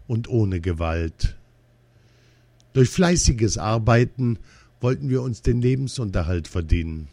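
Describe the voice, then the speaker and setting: A man reading a first-person testimony aloud in a slow, measured, earnest way. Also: fairly low pitch, dark tone, no room sound.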